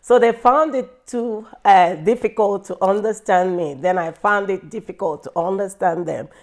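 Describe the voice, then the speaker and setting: A middle-aged woman from Nigeria with a Nigerian accent, speaking slowly and thoughtfully in an interview about her life, with frequent pauses and fillers. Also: mid-range voice. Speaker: interview guest. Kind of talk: reflective personal interview.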